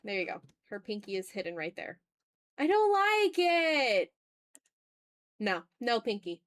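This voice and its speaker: A woman with a fairly high voice, recorded close and dry, hosts a casual drawing stream. She thinks out loud and banters with her viewers, playful and teasing, with a streak of mock exasperation. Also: big pitch swings, a little slow, no echo. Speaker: art streamer. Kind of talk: casual livestream chat while drawing.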